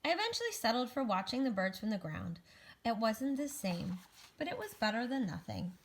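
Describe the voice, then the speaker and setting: A woman's voice: fairly high pitch, big pitch swings, a little fast. A woman voicing an anxious, wistful egg who narrates its own story earnestly in a children's picture book read-aloud. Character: anxious, wistful egg recovering from a great fall.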